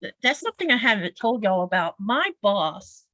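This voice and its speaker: A woman's voice: mid-range voice, sing-song, normal speed, fairly bright tone, no room sound. A woman with a Southern US accent, chatting casually on a livestream in an explanatory, folksy way. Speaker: quilter guest on a livestream.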